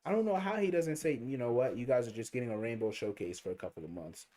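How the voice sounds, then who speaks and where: A man's voice: mid-range voice, lively intonation, quick pace, warm tone. A young man giving rambling, slangy commentary while livestreaming a mobile game, with faint game music behind him. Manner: rambling, slangy, thinking aloud. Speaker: gaming streamer. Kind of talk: casual livestream commentary over gameplay.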